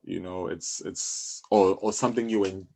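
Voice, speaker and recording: A South African man explaining things conversationally as an interview guest, often halting and repeating his phrases. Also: low voice, steady tone, creaky, warm tone. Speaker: interview guest, music publisher.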